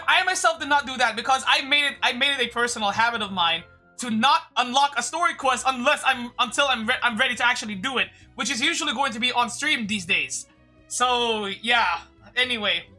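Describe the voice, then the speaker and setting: Very bright-voiced woman rambling on stream. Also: a little high, expressive, moderate pace, faint music behind. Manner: rambling, stammering, sweary. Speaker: livestreamer. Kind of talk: casual game stream commentary. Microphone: streaming microphone.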